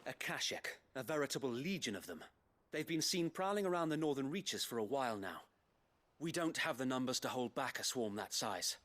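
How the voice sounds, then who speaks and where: An actor playing a dutiful, courteous but burdened guard captain in a fantasy video game, formal and articulate with an English accent. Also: male voice, medium pitch, animated, brisk, a bit bright, close to an everyday voice. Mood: alarmed, grim. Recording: music behind the voice.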